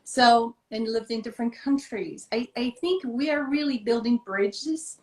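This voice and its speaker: A woman's voice: fairly high pitch, measured pace. Taiwanese-born woman, earnest and echoey. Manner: thoughtful, earnest, slightly non-native phrasing with many fillers. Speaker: guest, children's author. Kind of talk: conversational interview on a live video stream. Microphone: video call. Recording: echoey room over a video call.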